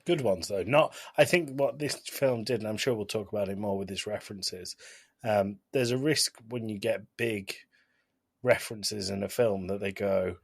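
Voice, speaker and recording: A man's voice: lowish voice, moderate pace, creaky, breathy edge, no room sound, clean studio sound. A man co-hosting a podcast, giving his opinions on film in an informal, casual way. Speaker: podcast co-host.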